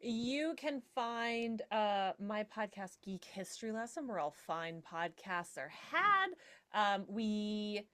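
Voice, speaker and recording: Woman, medium pitch, podcast guest, chatty, playful, wry, casual joking delivery. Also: a bit bright. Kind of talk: casual, joking panel chat.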